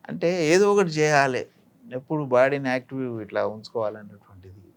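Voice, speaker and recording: A man's voice: medium pitch, a little fast, gravelly, smooth. Older Telangana man, reflective and instructive. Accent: Telangana Telugu. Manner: didactic, illustrating points with examples. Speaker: actor being interviewed. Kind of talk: reflective interview talk with anecdotes and advice.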